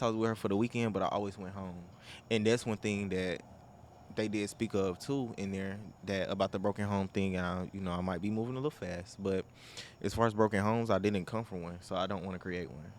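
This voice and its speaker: Young man, low voice, earnest casual talker, light background noise. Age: young adult. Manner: earnest, emphatic, informal. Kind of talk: casual chat.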